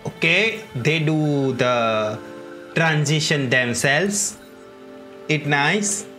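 A woman streamer commenting casually on a game. Her voice is low and extremely unhurried, with faint game music behind it. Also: lively intonation, fairly bright tone.

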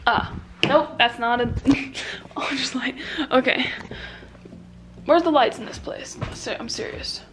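A woman's voice: high voice, unhurried, background noise. A young woman chatting casually into a handheld camera, giggly and full of slang, recorded in a car and a hotel. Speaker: vlogger. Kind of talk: casual vlog chat to camera. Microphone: phone or handheld camera at arm's length.

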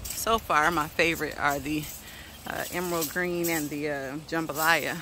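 Bright chatty woman on a phone. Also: sits low, steady tone, moderate pace, slight background noise, rough recording. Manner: chatty, laughs often. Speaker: vlogger. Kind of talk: casual garden tour. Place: backyard garden outdoors. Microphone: phone at arm's length.

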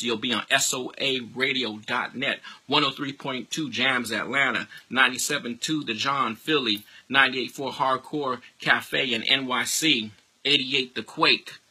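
A middle-aged American man with a husky voice giving an emphatic, informal promotional pitch in street slang. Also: sharp tone, rough recording. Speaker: radio promoter and DJ.

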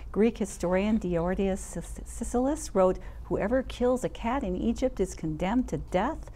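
An older woman hosting a studio talk show, speaking in a deliberate, articulate way as she presents and interviews. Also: medium pitch, warm tone. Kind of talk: presenting and interviewing, partly read from notes. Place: indoor TV studio set. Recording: clear background noise.

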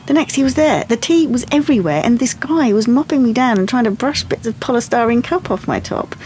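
A woman playing a calm, self-contained young artist in an audio play, with a dry manner. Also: fairly high pitch, a little fast, slight background noise, close to an everyday voice. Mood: reflective. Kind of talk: audio play monologue and dialogue. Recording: music behind the voice.

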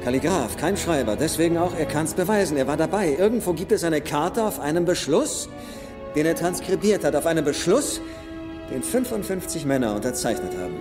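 A man's voice: on the high side, lightly acted. A man acting in a film dub as a brainy, principled treasure hunter who thinks aloud. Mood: excited deduction. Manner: thinking aloud, halting then excited. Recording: long hall reverb under film music.

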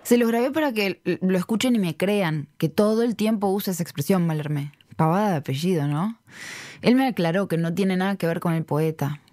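A woman's voice: fairly low pitch, expressive, fairly quick, mellow, no room sound, lightly acted. An actress playing a chatty, curious Argentine journalist who confides in her friends in a fiction podcast. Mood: chatty, confiding. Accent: Rioplatense, Argentine. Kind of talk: acted fiction podcast monologue.

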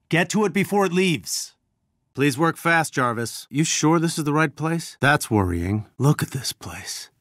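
Actor for male scientist rebel leader in a game: earnest, grave, measured, mid-pitched, cleanly dry recording. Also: dry recording, natural voice. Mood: urgent. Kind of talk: video game voice acting.

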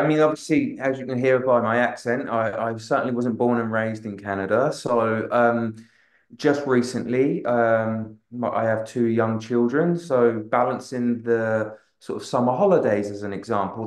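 A man in his thirties, a real estate agent on a webinar panel, answering at length with many restarts and filler phrases over a video call. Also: medium pitch. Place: room with a large wall map. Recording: roomy video call audio.

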